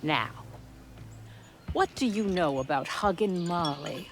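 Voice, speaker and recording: An older Southern lady speaks very slowly, with a coy, condescending courtesy that hides menace. It is a game cutscene performance, with music clearly under the voice. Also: fairly low pitch, expressive. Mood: measured, sly. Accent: American South. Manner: measured, coy, condescending politeness. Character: genteel, menacing older Southern matriarch with secrets. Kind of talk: video game cutscene acting.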